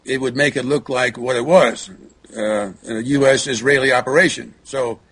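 A man speaking as a talk show guest, halting and repeating words, often clearing his throat. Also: mid-range voice, fairly even intonation, fairly bright tone.

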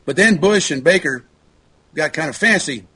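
A man speaking as a talk show guest, halting and repeating words, often clearing his throat. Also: a little high, lively intonation, pushed and bright.

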